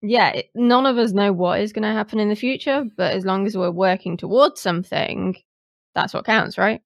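A woman's voice: mid-range voice, normal speed, no room sound. Woman chatting informally, close dry sound. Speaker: podcast interviewer. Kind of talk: casual conversational interview.

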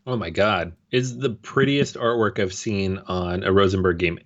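Man, low voice, opinionated podcast co-host, muffled lo-fi sound. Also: moderate pace. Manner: relaxed, opinionated, peppered with fillers. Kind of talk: casual chat. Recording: dull, lo-fi audio.

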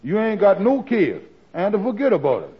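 A man's voice: high voice, wildly swooping pitch, fairly quick, husky, exaggerated voice. An actor playing a glib, blustering schemer in old-time radio comedy, stammering through his excuses. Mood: glib bluffing. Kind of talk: 1940s radio comedy acting. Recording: dull old radio recording.

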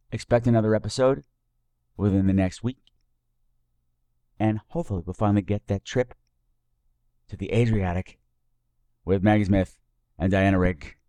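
Theatrical, low-voiced male podcast host. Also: creaky, mellow, no room sound. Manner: theatrical, playful, drawn-out emphasis, cheeky. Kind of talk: campy scripted podcast introduction.

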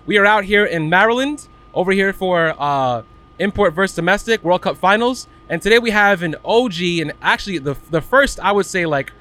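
A man's voice: high voice, animated, normal speed, bright, forceful tone. A man hosting a podcast, chatting casually as he interviews, recorded on location at a car event. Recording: clear background noise of racing event. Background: vehicle and event noise.

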